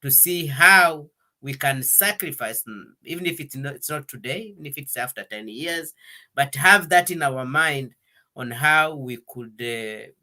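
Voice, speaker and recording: A male interviewer with a bright, forward tone and an average pitch. He speaks in a halting, conversational way, full of fillers and false starts, and sometimes switches into French. He keeps pressing his point as he talks. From Congo. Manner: hesitant, many fillers and restarts, switches between English and French. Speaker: interview host. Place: Denmark.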